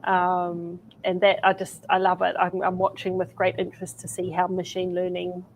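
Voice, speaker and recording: A woman's voice: fairly low pitch, monotone, moderate pace, a little hiss or hum, poor audio. A woman guest in a casual interview, talking with enthusiasm and checking in with "right?" and "you know". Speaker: guest speaker.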